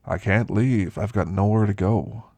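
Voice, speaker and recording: Man voicing a slow, gravelly old werewolf. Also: fairly low pitch, no echo. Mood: grave, confiding. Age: older. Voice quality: gravelly, husky. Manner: slow, hushed, reflective storytelling. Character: weary elderly male werewolf, wistful, confiding. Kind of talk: ASMR roleplay monologue.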